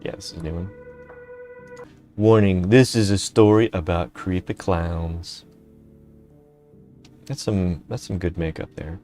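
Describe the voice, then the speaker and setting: A man's voice: sits low, steady tone, darkish tone, faint music behind. A man co-hosting a casual live stream, laughing often and reading chat and articles aloud. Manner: laid-back, laughing often, reads aloud haltingly. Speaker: streamer co-host.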